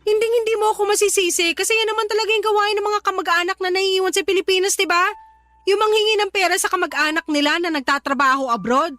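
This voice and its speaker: A Filipino actress playing a resentful, defiant young woman working abroad in a radio drama, with a sharp-tongued, argumentative manner. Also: extremely high pitch, steady tone, fast. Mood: indignant, defiant. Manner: argumentative, indignant, sarcastic. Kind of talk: Tagalog radio drama acting. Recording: music behind the voice.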